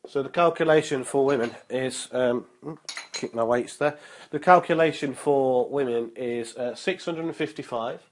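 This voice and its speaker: Young male fitness vlogger, slightly nasal with a cold, upbeat, medium pitch, lots of fillers. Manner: upbeat, motivational, many filler ums and uhs; slow and careful when reading out numbers. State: nasal from a cold, sore throat. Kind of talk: casual vlog talk and explainer.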